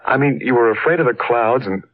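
An actor playing a plain-spoken, earnest young American husband in a 1940s radio drama, with a folksy, boyish manner. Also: male voice, mid-range voice, brisk, natural voice. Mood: easygoing. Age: young adult. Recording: muffled, noisy old radio recording.

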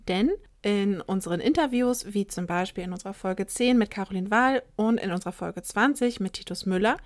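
A woman's voice: medium pitch, no echo. Woman, thirty-one, from Saxony-Anhalt, podcast co-host, friendly, casual, dry recording. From Saxony-Anhalt, Germany.

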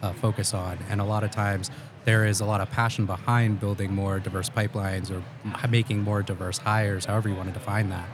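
Man, very deep, flat delivery, earnest guest; noisy live event booth. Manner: measured, earnest explanations. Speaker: guest, head of talent acquisition. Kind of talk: conversational podcast interview.

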